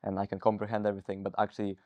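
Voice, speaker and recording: A teenage boy speaking in a measured, articulate way as a student guest in a small studio. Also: fairly low pitch, completely flat delivery, no echo, muffled recording. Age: teens. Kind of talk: casual interview chat. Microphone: lapel mic.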